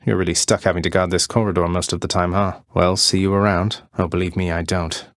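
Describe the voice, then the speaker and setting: An actor playing a young, rebellious prince of the underworld in a video game, earnest and wry, with polite understatement and dry sarcasm. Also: male voice, sits low, little pitch movement, brisk, fairly bright tone, no room sound. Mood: polite, easygoing. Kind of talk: video game character voice lines.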